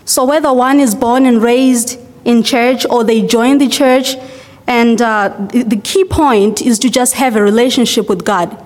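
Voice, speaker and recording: A young woman from Zimbabwe speaking to her congregation in a loose, chatty way, leaning on "like" and "uh". Her voice sits a little high. It carries the long reverb of a church hall. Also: fairly even intonation, a little slow, a little mellow. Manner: chatty, many fillers like "like" and "uh". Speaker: church member giving a testimony. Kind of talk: casual spoken testimony to a congregation.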